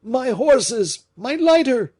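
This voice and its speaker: A man's voice: extremely high pitch, sing-song, slow pace, dark tone, slightly rough, pitched above the performer's own voice, exaggerated voice. A man voicing an anxious, desperate nobleman in an audiobook, with urgent, exclamatory speech. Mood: frantic. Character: anxious, desperate nobleman, a royal finance minister in danger. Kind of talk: audiobook character acting.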